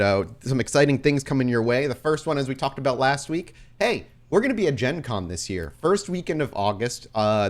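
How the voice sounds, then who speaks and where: Male podcast host, mid-pitched, casual and excited, chatting through announcements with an easy joking manner. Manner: informal, enthusiastic, jokey. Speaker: podcast host and game storyteller.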